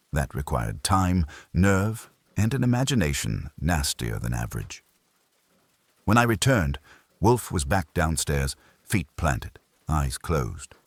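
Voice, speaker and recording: A man reading a first-person detective story as scripted audiobook narration, in a husky voice. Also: a bass voice, no echo.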